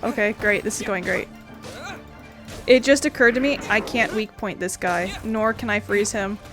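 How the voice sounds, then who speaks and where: A woman's voice: medium pitch, moderate pace, fairly bright tone, faint music behind. A woman hosting a gaming video with casual commentary, informal, playful and sweary.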